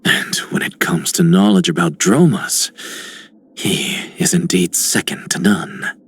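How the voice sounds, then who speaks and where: A man voicing a calm, serious, scholarly young guardian with a measured, reserved manner in a video game. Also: low voice, husky, full character voice. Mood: composed, earnest.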